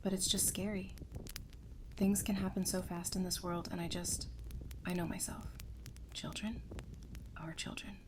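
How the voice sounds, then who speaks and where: A woman's voice: on the low side, little pitch movement, a little slow, pauses often, noisy background, lightly acted. A woman playing a tender but determined wife in an apocalyptic audio drama, earnest and plainspoken. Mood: scared, tender. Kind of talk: full-cast audio drama acting.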